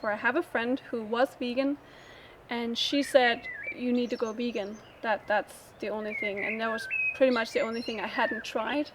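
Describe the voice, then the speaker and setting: A woman from Denmark telling her personal story in an interview, in a halting, thinking-aloud way. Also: a little high, steady tone, background noise.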